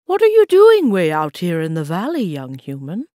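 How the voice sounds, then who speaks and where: A woman voicing a large, gentle female moose in a children's story, speaking in a deliberate, caring manner. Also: fairly low pitch, wildly swooping pitch, dry recording, clean studio sound. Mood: gentle concern.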